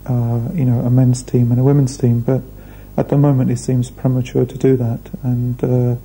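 A man, speaking thoughtfully in a documentary interview with measured pauses. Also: medium pitch, level tone, warm tone, slight background noise, rough recording. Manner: measured, thoughtful, hesitant fillers. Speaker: restaurant founder. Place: indoor room.

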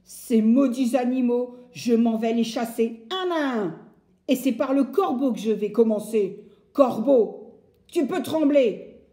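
A woman voicing a big, blustering, angry male woodcutter who shouts and growls threats. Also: very high-pitched, fairly even intonation, a little slow, roomy sound, pitched above the performer's own voice. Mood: growling threats.

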